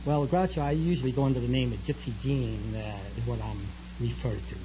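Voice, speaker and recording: A polite man with a medium-pitched voice, speaking as a quiz contestant. He answers haltingly and repeats himself. The sound is a muffled, noisy 1950s broadcast. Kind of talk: casual answers to a host's questions. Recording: dull, noisy 1950s radio broadcast recording.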